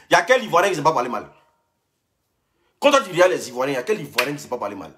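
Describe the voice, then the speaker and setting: A young man vents on a phone live stream, talking straight at a rival and doubling back on his own phrases. His voice sits a bit high, and his pitch leaps and drops constantly as he gets heated. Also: slight background noise. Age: young adult. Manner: heated, repeats himself, speaks straight at a rival. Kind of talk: casual live-stream rant.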